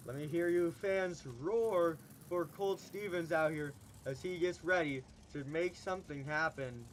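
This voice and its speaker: A man announcing a monster truck show in the style of an arena announcer, stretching out his hype calls, and he is losing his voice. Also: a little hiss or hum. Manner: booming, drawn-out hype calls. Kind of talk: hyped arena announcer commentary.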